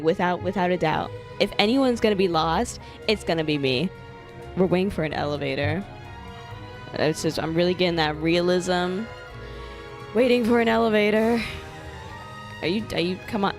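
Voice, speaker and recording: A young woman giving casual, playful live gameplay commentary as a streamer, reacting in exclamations. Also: lowish voice. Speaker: game streamer. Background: game music.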